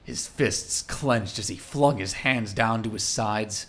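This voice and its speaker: A man's voice: on the low side, slow pace. A man narrating a scripted audio drama in a dramatic storytelling style.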